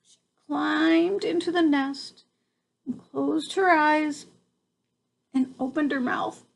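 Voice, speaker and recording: An older woman reading a picture book aloud to a child, expressive, with casual asides and exclamations. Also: high voice, slow, creaky.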